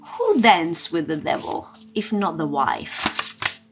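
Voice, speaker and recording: A woman chatting informally as a livestream tarot host, unhurried and pausing, with loose, sweary phrasing. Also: sits low, lively intonation. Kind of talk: casual livestream chat with card readings. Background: music.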